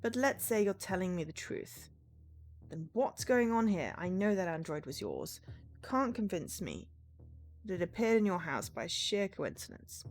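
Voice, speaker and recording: A woman's voice: medium pitch, very animated, normal speed, soft background music. A woman voicing a tough, suspicious female investigator in a cyberpunk game, speaking bluntly. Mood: fed up.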